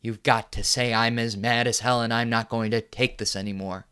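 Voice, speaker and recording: An actor playing a furious middle-aged TV newsman who delivers an impassioned on-air rant that repeats itself and builds to yelling. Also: medium pitch, steady tone, normal speed, clear, resonant tone, close to an everyday voice. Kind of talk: film monologue.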